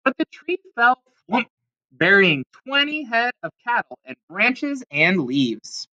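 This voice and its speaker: A woman's voice: a little high, sing-song, takes it slowly, bright, forceful tone, lo-fi sound. A woman reading a children's storybook aloud expressively, with dramatic emphasis on key words. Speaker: storybook reader.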